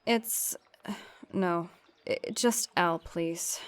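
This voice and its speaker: A woman in a fandub playing a shy, reserved schoolgirl who speaks quietly and hesitantly. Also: on the low side, very slow, distant mic. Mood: shy, awkward. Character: shy, reserved top student girl, Al. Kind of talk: fandub voice acting.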